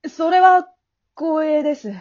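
A courteous, kindly reaper played by a woman guides a soul in an amateur radio drama. The voice sits fairly high and stays businesslike yet earnest and reassuring. The recording is a little dull and lo-fi. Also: fairly even intonation, a little slow, a little mellow. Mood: gentle, reassuring. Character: polite, kindly male guide of the afterlife, secretly an older brother.

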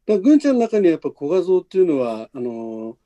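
A man's voice: a little high, very animated, very fast, warm tone, no room sound, dull audio. A man hosting a casual behind-the-scenes chat, speaking in a conversational, encouraging way. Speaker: podcast host, radio drama producer.

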